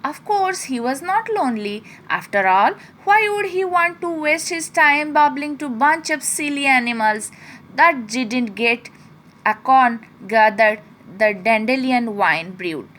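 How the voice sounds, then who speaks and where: A woman's voice: high-pitched, expressive, a little slow, a bit bright. A woman narrating a children's bedtime story expressively, sometimes stumbling over words and correcting herself.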